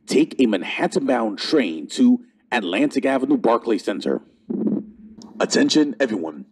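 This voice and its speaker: Male transit announcer, mid-pitched with a husky edge, formal scripted service notices, measured delivery.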